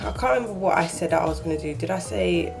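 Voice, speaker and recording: Low-voiced chatty woman, a bit croaky. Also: fast talker, music in the background. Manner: rambling, chatty, self-correcting. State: croaky, just woke up. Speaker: beauty vlogger. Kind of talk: casual chat. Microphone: handheld camera, shaky framing.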